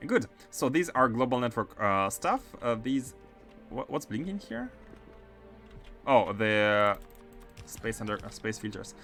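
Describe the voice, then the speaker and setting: A man's voice: medium pitch, a little slow, long pauses, soft background music. A man with a mixed Russian and French accent giving casual, filler-heavy commentary while he plays a game. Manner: chatty, many fillers, bursts of excitement. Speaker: game streamer.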